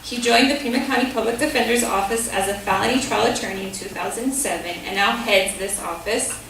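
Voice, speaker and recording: A woman's voice: mid-range voice, fairly quick, creaky, fairly bright tone. A woman host reading formal introductions of speakers at a community forum. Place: school hall. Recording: very echoey hall, distant, noisy.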